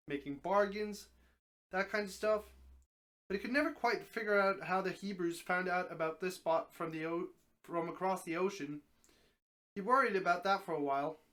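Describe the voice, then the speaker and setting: A man's voice: high-pitched, moderate pace, fairly bright tone. A man reading a story aloud live in an informal narrating style, occasionally stumbling and rereading.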